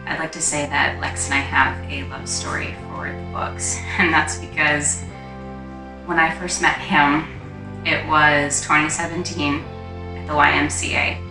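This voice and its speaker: A woman's voice: low-pitched, flat delivery, measured pace. A woman from Canada chatting fondly about her relationship with her partner. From Ontario, Canada. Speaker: interviewee. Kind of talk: casual interview. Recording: echoey, distant mic. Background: music.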